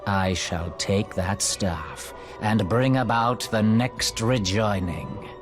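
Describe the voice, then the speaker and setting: An actor playing an arrogant, sinister sorcerer villain in a video game, with pompous, theatrical, archaic diction. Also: male voice, fairly low pitch, slow, music in the background. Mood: haughty, menacing. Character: arrogant, sinister male dark sorcerer villain, gloating and condescending.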